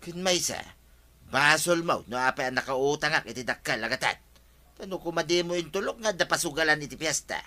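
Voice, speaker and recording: A wheedling debtor. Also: male voice, a little high, lively intonation, a little fast, sharp tone. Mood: pleading. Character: wheedling indebted village man, gambler. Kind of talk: radio comedy drama acting.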